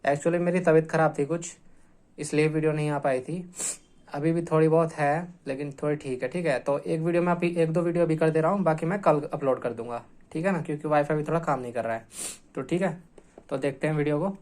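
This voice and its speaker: Higher-pitched man explaining informally. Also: fairly quick, darkish tone. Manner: repetitive, often checking in with "okay" tags. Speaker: exam-prep video host. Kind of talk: informal explanatory lesson, point by point.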